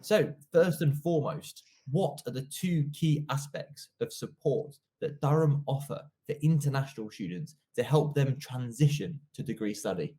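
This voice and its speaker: A man's voice: mid-range voice, normal speed, hardly any pauses, dark tone. A young man hosting an online interview in a polite, formal, effusive way. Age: young adult. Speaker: interviewer, host. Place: indoor office, video call.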